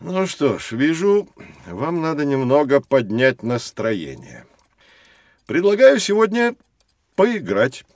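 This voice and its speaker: Lively, avuncular professor in children's drama. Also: male voice, on the high side, slow, dry recording, full character voice. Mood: encouraging, intriguing. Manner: avuncular, expressive, explanatory. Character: kindly, enthusiastic male professor teaching two children. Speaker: professor, teacher. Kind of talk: scripted educational audio drama for children.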